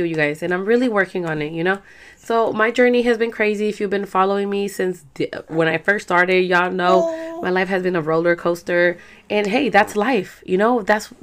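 A young adult woman with a Salvadoran accent, hosting a podcast in a chatty, slangy, rambling way with lots of fillers. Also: mid-range voice. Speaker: podcast host. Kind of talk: casual chat.

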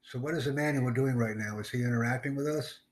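A man runs a live online English lesson over a video call. His pitch sits in an ordinary middle range, and he talks in an upbeat, repetitive way, tossing in simple Spanish greetings. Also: quick pace, background noise. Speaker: English teacher streaming a live class. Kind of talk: casual live-stream chat.

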